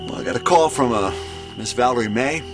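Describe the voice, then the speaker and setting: A man playing a folksy small-town sheriff in a dramatized 1950s reenactment, questioning witnesses in a hesitant, drawn-out way. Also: medium pitch, lightly acted. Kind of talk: dramatized documentary reenactment acting. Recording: music under the dialogue.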